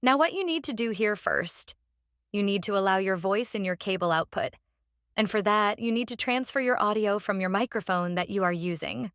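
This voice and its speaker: A woman hosting a casual step-by-step software tutorial, instructing plainly and often checking in with the listener. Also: medium pitch, moderate pace, clear, resonant tone, no room sound, studio quality. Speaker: tutorial host.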